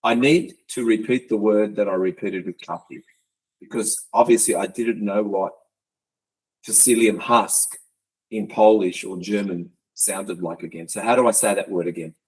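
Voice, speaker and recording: A man's voice: lowish voice, steady tone, moderate pace, slightly rough, poor audio. A man hosting a podcast, joking and teasing his guest in casual chat. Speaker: podcast host.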